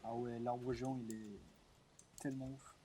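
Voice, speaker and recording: Mellow young man bantering over gameplay. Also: mid-range voice, level tone, normal speed, slight background noise. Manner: joking, crude banter. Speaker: co-streamer. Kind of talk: casual gaming chat.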